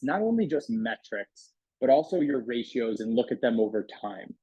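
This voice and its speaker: A man's voice: medium pitch, a little mellow, slightly rough, no echo, muffled recording. A man giving a casual, conversational walkthrough as a product demonstrator, explaining features in an informal sales style.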